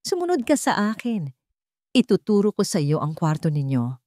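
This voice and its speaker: A woman narrator plays a cold head housemaid in her fifties from an audio story. She is stern and curt and gives orders in a raised pitch, recorded dry. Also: wildly swooping pitch, moderate pace, darkish tone, no room sound. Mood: cold, commanding.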